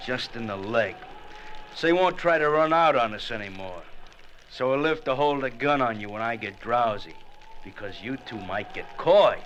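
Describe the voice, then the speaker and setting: A menacing gangster played by a man in 1940s radio drama style, with a medium-pitched, sharp, forceful voice. He taunts with mocking playfulness and flowery slang. There is faint noise from the old recording. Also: expressive, normal speed, muffled recording, lightly acted. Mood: smug. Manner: sardonic, taunting, florid slangy talk.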